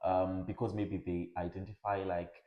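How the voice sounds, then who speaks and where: Very low-voiced man, hesitant podcast co-host, echoey room. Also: little pitch movement, moderate pace, highs cut off. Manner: thoughtful, hesitant with frequent fillers. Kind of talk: casual conversation.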